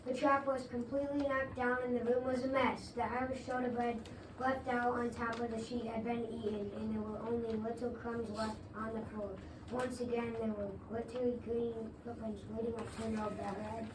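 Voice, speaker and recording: A woman's voice: on the high side, level tone, normal speed. A woman reading a children's story aloud in a readers' theater. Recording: echoey room, distant mic, faint noise.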